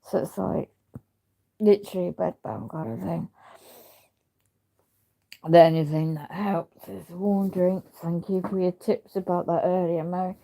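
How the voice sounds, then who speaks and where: A woman chatting casually on a livestream, talking in a halting, rambling way while she loses her voice. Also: on the low side, fairly even intonation, warm tone. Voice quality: gravelly. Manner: rambling, halting speech with many restarts. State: losing her voice. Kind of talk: casual livestream chat with viewers.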